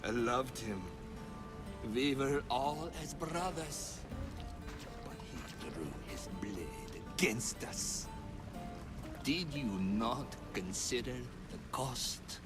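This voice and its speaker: An actor playing a menacing, ominous zealot hunter from a secret ancient order, in a dramatic video game cutscene. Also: male voice, medium pitch, animated, music in the background. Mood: wounded, bitter. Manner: slow, deliberate, ominous.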